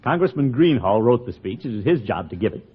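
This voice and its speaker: An actor playing a pompous, blustering middle-aged uncle in a 1940s radio comedy, theatrical and easily flustered. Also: male voice, mid-range voice, big pitch swings, brisk, husky. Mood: sulky, defensive. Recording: muffled 1940s radio recording.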